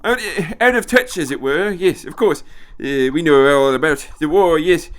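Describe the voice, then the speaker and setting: A man's voice: on the high side, moderate pace, fairly bright tone, pitched above the performer's own voice, natural voice. A man voicing an irritable, imperious old man time-traveller in an audio story, hesitant and repeating himself. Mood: flustered.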